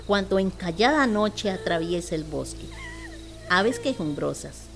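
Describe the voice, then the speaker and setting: Low-voiced Costa Rican woman narrating a legend. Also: animated, unhurried, over music. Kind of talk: scripted legend narration, radio play. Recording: clear background noise, nature ambience.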